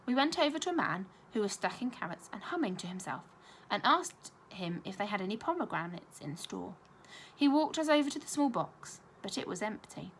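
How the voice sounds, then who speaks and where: A woman reading a children's story aloud like a primary school teacher, expressive and clearly paced. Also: medium pitch, fairly quick, hardly any pauses, a little hiss or hum.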